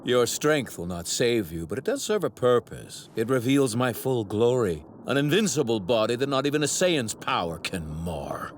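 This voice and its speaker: An actor playing an arrogant, righteous god villain in an anime dub, with pompous, theatrical, formal delivery. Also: male voice, medium pitch, sing-song, normal speed, hardly any pauses, slight background noise, lightly acted. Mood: gloating. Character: arrogant male god villain, righteous zealot who despises mortals. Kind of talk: dubbed anime villain acting. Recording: sound effects bleeding behind voice.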